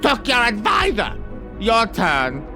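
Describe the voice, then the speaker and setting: A spoiled tyrant king in a sci-fi fantasy audio drama. He gloats and sneers like a child, drawing words out slowly with big leaps in pitch. Music plays clearly behind him. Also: huge pitch swings, full character voice. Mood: spiteful, boastful. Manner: bratty, theatrical, mocking. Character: gloating, childish, petulant villain king, taunting his prisoner.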